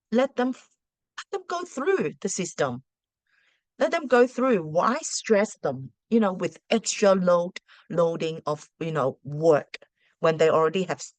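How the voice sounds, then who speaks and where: A woman's voice: on the low side, no room sound. Chatty woman teacher, Cantonese native. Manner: chatty, frequent restarts and rhetorical questions. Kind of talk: casual advice talk to parents.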